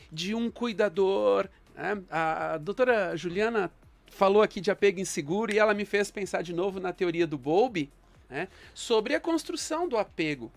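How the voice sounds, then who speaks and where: A man, a guest psychologist on a panel, speaking in a measured, reflective way with frequent fillers. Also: sits high, vocal fry. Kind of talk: thoughtful panel discussion.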